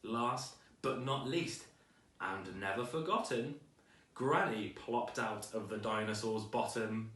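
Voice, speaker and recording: A man's voice: fairly low pitch, slow pace, a bit bright. A man reading a children's storybook aloud with dramatic, rhythmic delivery, recorded at a distance in an echoey room.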